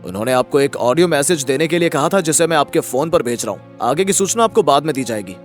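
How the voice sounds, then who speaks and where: A man plays a formal army captain in an audio melodrama. He speaks gravely in a slightly high male voice, over music. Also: animated, fast talker, natural voice. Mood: grave, official. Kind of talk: audio story melodrama acting.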